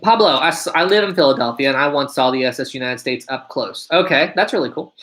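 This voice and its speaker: A young man with a deep voice hosting a casual live stream, reading viewer questions aloud and answering them one by one. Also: fast, fairly bright tone.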